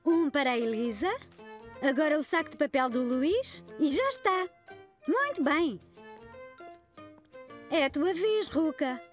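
An actress playing an encouraging preschool teacher in a cartoon dub, with a European Portuguese accent. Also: female voice, high-pitched, animated, unhurried, pauses often, faint music behind. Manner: clear, encouraging classroom tone. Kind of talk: cartoon dubbing.

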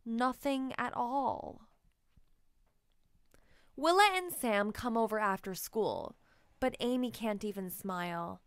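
A woman reading a children's story aloud expressively, with deliberate, emphatic phrasing. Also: a little high, animated, clean tone, dry recording, clean studio sound. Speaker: children's book narrator.

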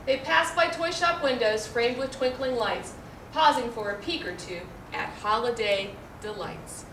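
High-pitched American woman reading a storybook. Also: animated, measured pace. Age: middle-aged. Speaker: librarian reading a picture book aloud. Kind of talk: children's storybook read-aloud. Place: indoor room, seated before a backdrop. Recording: roomy, distant mic, faint noise.

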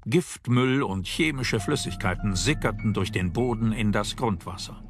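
A man's voice: on the low side, moderate pace. A man reading scripted narration for a documentary as its narrator. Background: background music.

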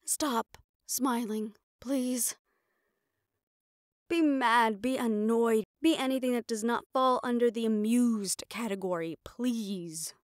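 A woman's voice: fairly high pitch, slow pace, no echo, studio quality. A woman playing a mafia boss's proud, frustrated personal assistant in an intimate roleplay audio drama, with an exasperated, self-reproachful manner. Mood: self-blaming, frustrated.

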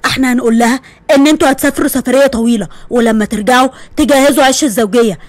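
A woman's voice: high voice, racing, dry recording, voice pushed down, natural voice. A woman voicing a stern, commanding army general father with an Egyptian accent. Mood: firm. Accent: Egyptian Arabic. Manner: firm, commanding, dry humor.

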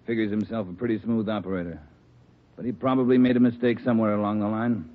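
An actor as a firm police chief, giving orders in steady, direct sentences. His voice is fairly low and sounds thin and phone-like, as in an old radio broadcast. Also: quick pace, slight background noise, close to an everyday voice. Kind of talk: 1940s radio drama acting. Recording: tinny 1949 radio broadcast.

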